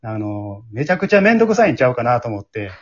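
A man's voice: medium pitch, sing-song, fast, dull audio. A man with a Kansai accent hosting a casual after-show talk, conversational and often seeking agreement from his listeners. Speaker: host and scriptwriter of an amateur radio drama group.

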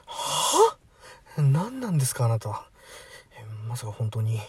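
Radio drama role: male actor as irritated, incredulous high schooler, husky and very animated, slightly distant from mic. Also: a little slow, exaggerated voice. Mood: startled, suspicious. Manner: blunt, incredulous, grumbling. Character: teenage high school boy, sceptical, bewildered and irritated. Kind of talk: amateur radio drama acting.

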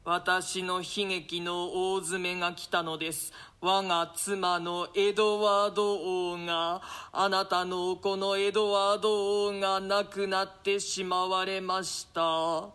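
Man playing high-voiced despairing queen, clear and sharp. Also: flat delivery, a little slow, hardly any pauses. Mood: desperate grief. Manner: declamatory, anguished, theatrical. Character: frantic, despairing widowed queen. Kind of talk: dramatic reading of a classic stage play.

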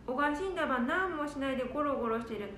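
A woman's voice: sits high, expressive, fairly bright tone, a little hiss or hum. Woman playing a blunt old grandma with a twang. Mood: brisk. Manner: brisk, blunt dialect talk. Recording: echoey room from a distance.

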